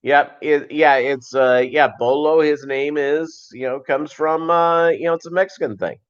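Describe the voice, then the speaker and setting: A man's voice: a little high, steady tone, measured pace, clear, resonant tone, dull audio. A man hosting a casual livestream, rambling along as he reads out and answers viewer comments. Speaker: livestream host of a fishkeeping channel. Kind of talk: casual live chat with viewers.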